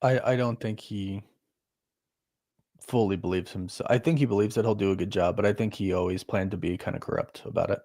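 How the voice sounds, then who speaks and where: A man's voice: lowish voice, steady tone, brisk, vocal fry, a little mellow. Chatty man in his thirties joking about himself. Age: 30s. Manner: chatty, laughs often, jokes about himself. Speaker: host. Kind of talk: casual live watch-party chat.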